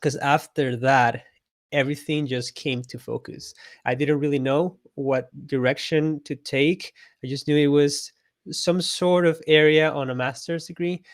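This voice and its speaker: A man's voice: on the high side, fairly even intonation, a little slow, no room sound, clean studio sound. A man from Costa Rica, a guest on an interview show, telling his story in a friendly, reflective way and laughing often. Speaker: guest, illustrator and artist coach.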